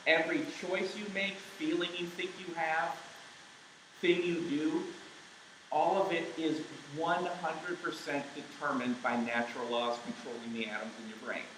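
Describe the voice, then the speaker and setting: A man speaking at a camp, explaining his points step by step in an emphatic way; he says he has a loud voice. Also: fairly high pitch, noisy background. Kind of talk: live apologetics talk. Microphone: mic taken off partway. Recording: very echoey room.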